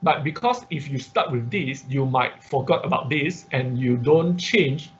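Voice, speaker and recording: A man teaches an informal live online tutorial, explaining haltingly with repetition and frequent check-in questions. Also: mid-range voice, expressive. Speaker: instructor of an online robotics class. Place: online class call. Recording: dull, muffled online-class audio.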